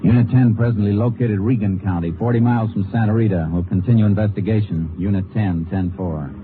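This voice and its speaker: A man acting as a stern, steady American Texas Ranger in a radio drama, narrating his case and speaking in terse, authoritative lines. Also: on the low side, fairly quick, heavy background noise, close to an everyday voice. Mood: matter-of-fact. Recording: muffled vintage radio broadcast with music.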